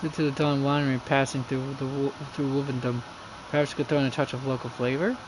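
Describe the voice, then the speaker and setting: Casual game streamer of mid pitch, musing aloud between lines of read-out text, clear background noise. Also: little pitch movement, fast talker. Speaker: game livestreamer.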